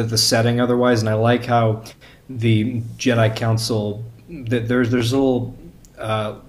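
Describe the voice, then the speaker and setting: A man speaking as a podcast guest in casual conversation, often repeating words as he builds his point. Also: mid-range voice. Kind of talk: casual chat.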